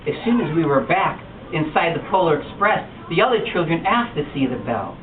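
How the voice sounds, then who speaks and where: Animated man reading to kids, far off. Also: fairly high pitch, a little fast. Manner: animated, expressive storytelling. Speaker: storytime reader. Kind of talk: reading a picture book aloud to children. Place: bookstore storytime. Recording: distant echoey lo-fi room recording with noise.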